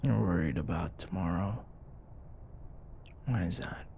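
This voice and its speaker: Low, gravelly grumpy office rival played by a man. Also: measured pace, mellow, slight background noise. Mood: soft, concerned. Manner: exasperated, blunt, conversational, sighing. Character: grumpy, sarcastic male office rival, grudgingly caring. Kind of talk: intimate ASMR boyfriend roleplay acting.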